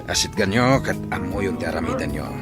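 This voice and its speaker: A man's voice: low voice, expressive, fast talker, loud music behind the voice. A man playing a sly, bossy gang leader giving orders in a comedy radio drama. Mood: commanding. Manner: bossy, scheming. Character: sly, commanding male gang boss.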